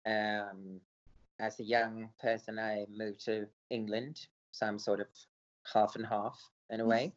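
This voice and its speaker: A man's voice: fairly low pitch, flat delivery, a little slow, highs cut off. A man born in Sweden, an author answering interview questions hesitantly with many fillers. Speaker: author guest.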